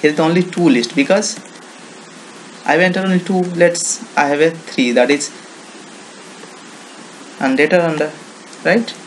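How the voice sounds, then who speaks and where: A man with an Indian accent demonstrating software in an informal screen-recorded tutorial, with non-native English phrasing and frequent repetition. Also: a little high, measured pace, pauses often. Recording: noisy home-made screen recording.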